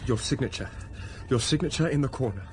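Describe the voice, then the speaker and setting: A man's voice: medium pitch, lively intonation, quick pace, noisy background, natural voice. An actor playing a stern, self-denying young clergyman in a period radio drama, speaking formally and measuredly. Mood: urgent.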